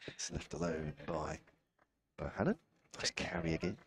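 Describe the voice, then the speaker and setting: A man's voice: rumbling low, lively intonation, moderate pace. A man with a southern English accent doing live cricket commentary, wry and chatty. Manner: chatty, wry, self-deprecating. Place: outdoor commentary position at a cricket ground.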